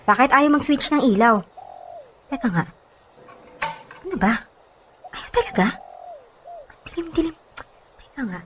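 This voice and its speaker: A woman's voice: high voice, lively intonation, a little slow, pauses often, a bit bright, slight background noise. An actress playing a nervous, chatty young woman doctor in a radio drama, exclaiming and talking to herself. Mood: nervous, fretting. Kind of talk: Filipino radio drama acting.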